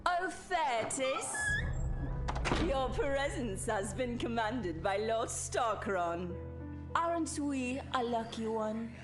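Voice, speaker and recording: A woman's voice: a little high, expressive, slow, music in the background. A woman playing a gloating, sadistic villainess in an audio drama, mocking and theatrical, with a drawl. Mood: mocking, playful. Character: gloating, sadistic villainess devoted to her dark lord.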